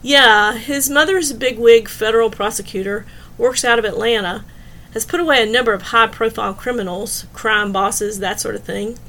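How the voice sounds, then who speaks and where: A woman's voice: fairly high pitch, normal speed, pushed and bright. A woman narrator voicing a wry, friendly, unruffled female bartender in an audiobook, teasing and matter-of-fact. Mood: informative.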